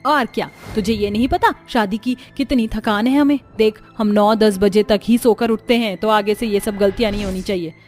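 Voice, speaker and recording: A woman voicing a scolding, scornful middle-aged mother-in-law, bossy and sharp-tongued, in a family story. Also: mid-range voice, fairly even intonation, normal speed, few pauses, soft background music, close to an everyday voice. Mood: irritated.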